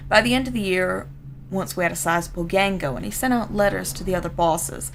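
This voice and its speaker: Woman as gravelly, streetwise Southern gang storyteller. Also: fairly low pitch, noisy background. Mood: streetwise, wry. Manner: folksy, streetwise, colloquial. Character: rough street-gang storyteller, plain-spoken old comrade of a boss. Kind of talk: dramatic first-person story reading.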